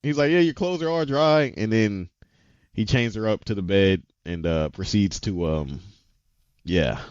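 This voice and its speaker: A man's voice: lowish voice, a little slow, darkish tone, smooth, no echo, muffled recording. A man co-hosting a casual talk show, retelling scenes animatedly and acting out the characters' lines. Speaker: podcast co-host. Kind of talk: casual chat recapping anime episodes.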